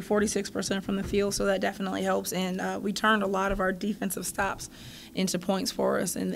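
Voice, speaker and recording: A woman's voice: mid-range voice, vocal fry, slight background noise. A woman in her thirties, a basketball coach, answering post-game questions at a press table mic in a thoughtful way, often repeating words as she starts a phrase. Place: press room in front of a logo backdrop. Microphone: table mic in front of her.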